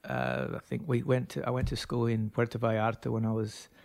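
A man's voice: mid-range voice, flat delivery, a little fast, vocal fry, a little mellow, no room sound. A man in his thirties with an Australian accent, a brand founder telling his story as a guest, full of fillers and restarts. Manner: rambling, many fillers and restarts. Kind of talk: casual interview talk.

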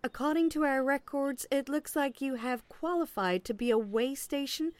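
Woman playing a high-pitched, polished, officious female agent. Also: moderate pace, no echo, close to an everyday voice. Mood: briskly officious. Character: smooth, officious woman agent, politely relentless with veiled sarcasm. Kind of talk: audio play acting.

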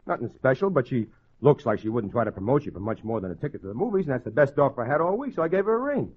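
A man's voice: mid-range voice, big pitch swings, rapid-fire, slightly rough. A man playing a cocky, wisecracking small-time gangster and ladies' man in a 1940s radio crime drama, with slangy tough-guy talk. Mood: casual, lively. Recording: muffled old radio broadcast.

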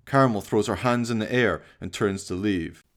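A man's voice: fairly low pitch, moderate pace. A man narrating a radio play from a script, describing the action in the present tense.

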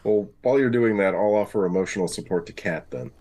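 A man's voice: lowish voice, fast talker, dark tone. A man playing a tabletop roleplaying game, chatting casually and improvising as he goes. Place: remote online call.

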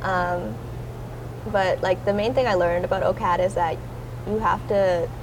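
A woman's voice: on the low side, steady tone, measured pace, dull audio. Young woman, filler-heavy, noisy track. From Toronto area, Canada. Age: young adult. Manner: hesitant, full of "like" and "um" fillers. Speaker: guest, art student. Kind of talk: casual interview. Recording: noisy recording.

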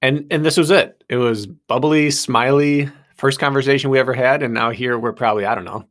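A man's voice: medium pitch, fairly even intonation, brisk, fairly bright tone. A man hosting a podcast, chatting easily and telling stories to his guest. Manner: easygoing, storytelling, laughs along. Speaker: podcast host. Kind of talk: casual podcast interview.

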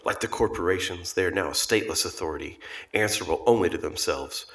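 An actor playing a solemn, accusatory online agitator in a radio play, oratorical and rabble-rousing, with a reverberant effect on the voice. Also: male voice, deep voice, fast, fairly bright tone. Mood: ominous indignation. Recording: echoing, reverberant, distant sound.

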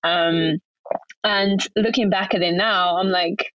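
A woman's voice: on the low side, steady tone, takes it slowly, no room sound, dull audio. A young woman from Bulgaria, a podcast guest chatting in English as a second language, upbeat and laughing often between phrases. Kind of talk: casual interview chat.

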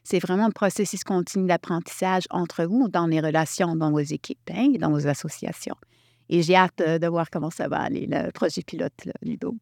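A woman from Quebec leading a workshop, talking in an animated way and restarting her sentences often. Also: fairly low pitch, moderate pace, vocal fry, dry recording, clean studio sound. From Quebec, Canada. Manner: animated, thinks aloud with many restarts. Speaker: workshop facilitator. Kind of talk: workshop talk.

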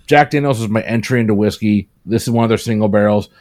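A man's voice: lowish voice, quick pace, a little mellow, no room sound, clean studio sound. A man on a podcast panel chatting in a laid-back, drawling way. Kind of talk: casual podcast chat.

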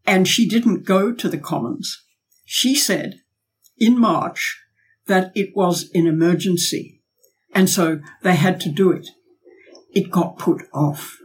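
Husky-voiced woman making a pointed case. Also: on the low side, slow, low-quality recording. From Australia. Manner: emphatic, pointed, with rhetorical questions. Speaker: guest, former diplomat and academic. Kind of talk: podcast interview, arguing a case.

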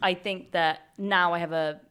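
A woman's voice: on the low side, steady tone, normal speed, clear, resonant tone. A woman in her twenties, an actor chatting casually as co-host, reflective and thoughtful. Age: 20s. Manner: reflective, frequent soft "mm-hmm" backchannels. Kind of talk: casual chat.

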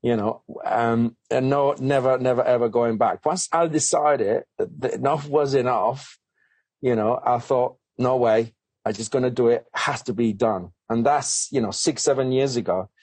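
A man's voice: mid-range voice, normal speed, darkish tone, no room sound. A man telling his own story as a guest in an interview, in a chatty way with many fillers and restarts. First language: not English. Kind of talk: conversational interview.

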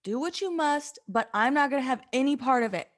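Woman acting a blunt, high-voiced, exasperated female friend. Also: animated, brisk. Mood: firm, blunt. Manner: blunt, sassy, emphatic. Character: blunt, exasperated young woman, loyal foul-mouthed friend. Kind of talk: comedic radio play performance with modern slang.

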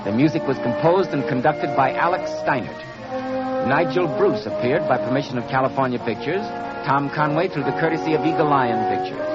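A man announcing in polished 1940s radio style as a programme announcer. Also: mid-range voice. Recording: muffled old radio broadcast, loud music.

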